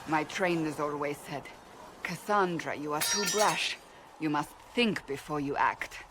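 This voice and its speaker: An actress playing a blunt, devout warrior woman in a video game, speaking gravely and deliberately in formal phrases. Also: sits low, background noise. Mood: frank, reflective. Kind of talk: dramatic video game dialogue.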